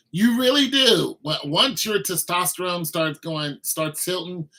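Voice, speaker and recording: A man's voice: a little high, pushed and bright, low-quality recording. A man in his mid-fifties chatting casually and rambling to viewers on a livestream while cooking. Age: 50s. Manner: laid-back, rambling, talks to viewers. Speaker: livestream host.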